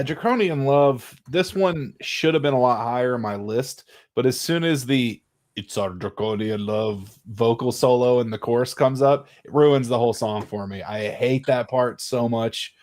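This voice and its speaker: A male podcast panelist with a medium-pitched voice. He talks in a casual, conversational way, giving his opinions on music. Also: unhurried, darkish tone.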